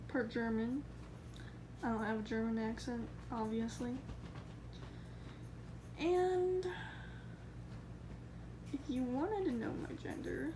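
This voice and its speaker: A woman vlogging casually from her backyard and home, chatty and giggly, rambling as she goes. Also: on the high side. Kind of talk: casual vlog chat. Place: backyard outdoors, then indoors. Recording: noisy home video recording.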